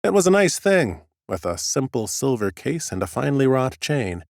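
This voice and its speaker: A man narrating an audiobook in the first person as an ancient undead scholar, a fussy, smug, whimsical gentleman with a theatrical, drily humorous delivery. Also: mid-range voice, very animated, moderate pace, vocal fry, no room sound, clean studio sound. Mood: self-satisfied.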